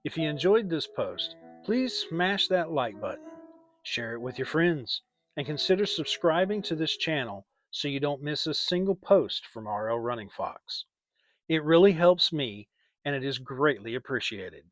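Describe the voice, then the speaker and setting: A man giving an expressive, deliberate dramatic narration of a children's audiobook. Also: fairly high pitch, soft background music. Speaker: storyteller and channel host.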